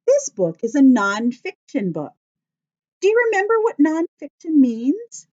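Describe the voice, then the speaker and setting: A woman's voice: high-pitched, huge pitch swings, a little slow, darkish tone, lo-fi sound. A woman teacher talking young children through things in simple steps, with encouraging questions. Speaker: children's teacher leading craft and story time. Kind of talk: instructional talk to young children.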